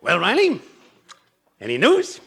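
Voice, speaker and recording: A brash, excitable workmate in an old radio comedy. The voice is extremely high, swooping wildly and crackling with rasp as it fires off slangy wisecracks. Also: male voice, unhurried, full character voice. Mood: teasing. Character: excitable wisecracking male coworker, teasing and alarmed. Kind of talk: old-time radio situation comedy acting.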